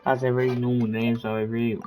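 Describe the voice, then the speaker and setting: Guy reading game lines casually, pretty monotone, middling pitch. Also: fast, soft background music.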